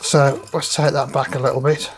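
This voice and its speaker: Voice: medium pitch, little pitch movement, normal speed. A person giving casual hands-on repair commentary, thinking aloud while working at the bench. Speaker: presenter demonstrating equipment repair.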